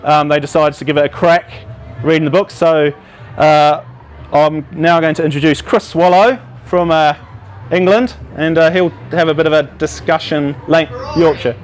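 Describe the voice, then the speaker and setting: A man hosting a live talk night, introducing speakers casually with many pauses. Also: on the high side, steady tone, faint music behind. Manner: casual, hesitant, lots of ums. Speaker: event host. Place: hall with a live audience.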